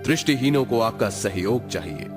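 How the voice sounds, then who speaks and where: A man's voice: on the low side, animated, moderate pace, over music. A man reading a scripted public awareness message as an announcer.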